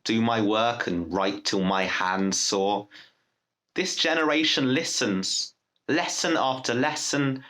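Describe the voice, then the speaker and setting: Young man, bright forceful tone, poetry recitation, declarative, measured phrasing. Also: medium pitch. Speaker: poet reading own poem.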